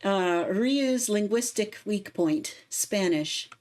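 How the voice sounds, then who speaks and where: A woman's voice: mid-range voice, animated, slow, a little mellow. Woman, thirties, light midwestern accent, casual livestream chat, frequent laughs, home desk mic. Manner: laughs often, explains singing technique. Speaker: streamer and cover singer. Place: home room, indoors.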